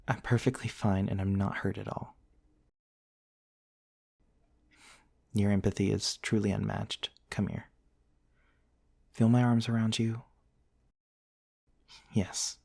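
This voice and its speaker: A man playing a gentle, courteous centuries-old vampire prince in an intimate ASMR roleplay, soft-spoken and formal, with hesitant pauses. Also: lowish voice, gravelly, husky, dry recording, clean studio sound, heavily acted. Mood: tender, soothing. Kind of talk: intimate ASMR roleplay, storytelling to one listener.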